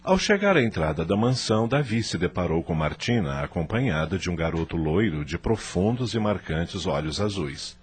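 A man's voice: low voice, animated, normal speed, few pauses. A man narrating a radio soap opera in a scripted, dramatic style.

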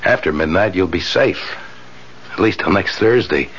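An actor playing a polite, probing, quietly menacing salesman lodger in a 1970s radio drama. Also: sits low, lively intonation, moderate pace. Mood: calmly sinister. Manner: polite, smooth-talking, probing. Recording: dull, noisy 1970s radio recording.

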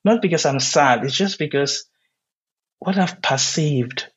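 Nigerian male poet, Igbo native speaker, slightly husky, halting and thoughtful, dull lo-fi sound. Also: on the high side, expressive, fairly bright tone. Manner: halting, many "um"s, searching for words. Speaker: poet, interview guest. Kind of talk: reflective interview conversation.

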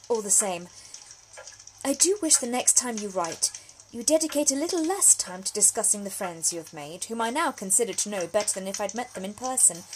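A woman playing a high-voiced, genteel Victorian young lady. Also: darkish tone, slight background noise, lightly acted, low-quality recording. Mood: gently teasing. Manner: formal, precise, genteel Victorian diction. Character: genteel, witty, frank Victorian young woman letter writer. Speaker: actor reading a solo audio drama. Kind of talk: period monologue, letters read aloud.

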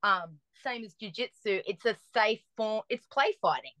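A woman's voice: mid-range voice, normal speed, no room sound. Chatty woman in her forties. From Australia. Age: 40s. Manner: chatty, lively storytelling with restarts. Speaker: guest. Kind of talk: casual chat.

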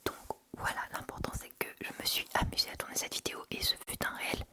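Woman, ASMR creator: casual, intimate chat in a soft whisper, close-miked. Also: quick pace. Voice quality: unvoiced, breathy whisper. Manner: informal, chatty, intimate. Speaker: ASMR video creator and host. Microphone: sensitive Tascam recorder without windscreen, close up.

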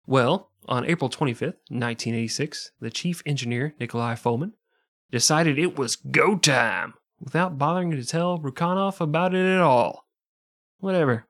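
A man's voice: fairly high pitch, normal speed, a bit bright. Profane, jokey man telling history. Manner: profane, jokey, laughs often. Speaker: podcast host. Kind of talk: comedic history storytelling.

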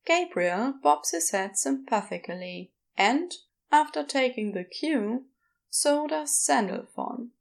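Slow, higher-voiced woman narrator. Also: expressive, creaky. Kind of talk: scripted audiobook-style story narration.

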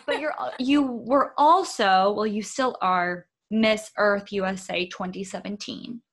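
A woman conducts an interview, asking her questions in a friendly, encouraging way. Her voice sits in a middle pitch. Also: measured pace. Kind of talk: conversational interview.

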